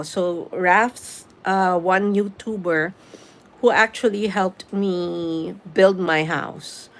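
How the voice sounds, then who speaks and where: A woman's voice: mid-range voice, a bit bright. A middle-aged woman from the Philippines with a Filipino accent, chatting casually with viewers on a live stream and slipping in Tagalog phrases. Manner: chatty, mixes Tagalog phrases, reads comments aloud. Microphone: phone.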